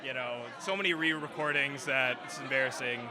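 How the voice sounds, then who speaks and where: A man's voice: medium pitch, brisk. A man giving a rambling, informal, enthusiastic interview as a creative guest. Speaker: radio drama writer and director being interviewed. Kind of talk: casual interview chat. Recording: busy crowd chatter behind the voice.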